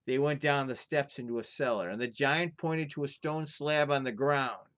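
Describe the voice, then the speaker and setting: A man's voice: mid-range voice, moderate pace, fairly bright tone. A man telling folktales as an informal storyteller, moving between casual chat and narration.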